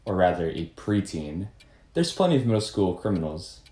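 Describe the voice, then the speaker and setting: A man's voice: deep voice, big pitch swings, moderate pace, dark tone, echoey room. A man playing a suspicious, confrontational detective who questions pointedly in a puppet-show murder mystery. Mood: skeptical. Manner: pointed, skeptical.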